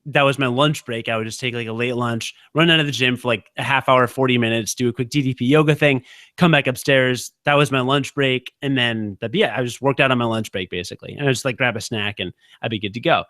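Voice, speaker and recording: A man in his thirties co-hosting a podcast, chatting casually in a quick, halting way full of fillers. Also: medium pitch, a bit bright, dry recording, clean studio sound. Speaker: podcast co-host. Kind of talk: casual chat.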